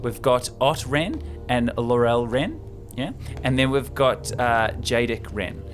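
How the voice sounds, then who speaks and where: A man's voice: mid-range voice, slow, soft background music. A man co-hosting a show, retelling a film script in an informal, enthusiastic, chatty way. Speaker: podcast co-host.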